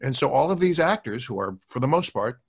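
A man's voice: medium pitch, very animated, fairly quick, slightly rough. An older man explaining his work as a guest, speaking into a desk microphone on a video call. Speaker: guest, audio drama producer. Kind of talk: explanatory talk.

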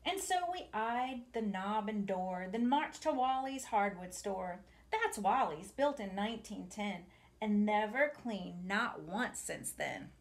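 A woman in her forties reading a picture book aloud to children in an expressive, deliberate way, with asides to her young listeners. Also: medium pitch. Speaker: storytime reader for a museum family programme. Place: small home room, indoors.

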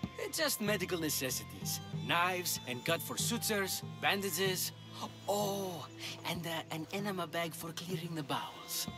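Casting: earnest, flustered apprentice physician in a game, high animated voice, music behind. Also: male voice, a little slow. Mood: polite, helpful. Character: earnest, anxious male ancient Greek physician's apprentice. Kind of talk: dramatic video game acting.

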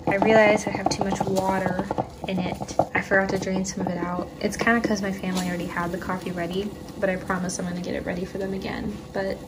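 A young woman chatting casually in her home kitchen at night, giggling and often dropping to a hushed voice, recorded on a phone camera on the counter. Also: medium pitch, very noisy. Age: young adult. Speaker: vlogger. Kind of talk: casual cooking vlog chat.

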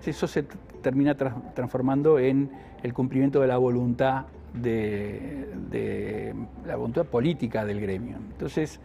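A man's voice: medium pitch, fairly even intonation, a little slow, vocal fry, warm tone, slight background noise. An older man answering an interview, giving an analytical explanation and often stumbling into restarts.

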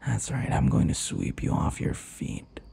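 A man voicing a teasing, possessive centuries-old vampire captor in an intimate ASMR roleplay, breathy and husky, mock-tender and taunting. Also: warm tone, a little hiss or hum, lightly acted. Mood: obsessive, infatuated. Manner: slow, mock-tender, taunting, often dropping to whispers. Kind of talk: ASMR boyfriend roleplay, intimate dramatic monologue.

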